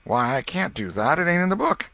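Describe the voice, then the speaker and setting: A man's voice: a little high, very animated, fairly quick, sharp tone, no room sound, voice pushed up, heavily acted. A man voicing an imaginative, bossy schoolboy in a storybook, declaiming like an outlaw hero in rustic boyish dialect. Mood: insistent.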